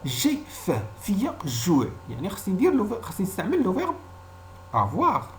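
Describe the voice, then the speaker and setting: A man's voice: high voice, wildly swooping pitch, fast, slight background noise. A man with a Moroccan accent, a native Arabic speaker, giving an informal language lesson as a teacher, explaining didactically and repeating example phrases.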